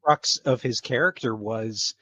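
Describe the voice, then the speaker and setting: A man's voice: medium pitch, a little slow, a crowd in the background. A middle-aged man who works as a voice actor, chatting casually as a guest on a podcast. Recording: slightly dull, lo-fi sound.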